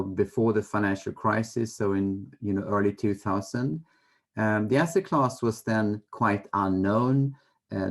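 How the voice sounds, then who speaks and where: A middle-aged man with a Swedish accent answers interview questions in a somewhat low voice. He explains things conversationally, in a measured and slightly hesitant way. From Sweden. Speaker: interview guest, fund manager.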